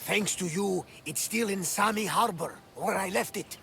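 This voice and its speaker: An actor playing a boisterous, wry, one-eyed older sea captain in a video game, telling things in a theatrical, gravelly voice. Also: male voice, high-pitched, normal speed, bright, forceful tone, a little hiss or hum, exaggerated voice. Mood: wry, grateful. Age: middle-aged. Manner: theatrical, hearty storytelling. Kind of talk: video game cutscene acting.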